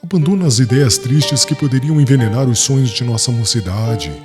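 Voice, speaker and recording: A man's voice: mid-range voice, fairly quick, lightly acted. A man playing a passionate, proud young fiancé in an audiobook drama, with theatrical, emphatic, formal delivery. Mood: pleading, hopeful. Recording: long reverb, music behind.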